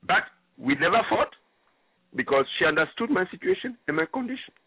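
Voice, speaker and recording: A man's voice: high voice, big pitch swings, brisk, slightly rough, no echo. Middle-aged man from Gabon, native French speaker; repetitive, emphatic storyteller, dry close sound. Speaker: guest. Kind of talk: personal story on call-in radio. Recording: tinny phone line.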